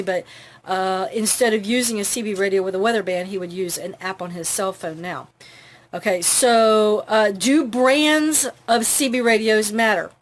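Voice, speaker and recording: A middle-aged woman hosting an informal how-to talk, chatty, with many fillers. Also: mid-range voice. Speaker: host.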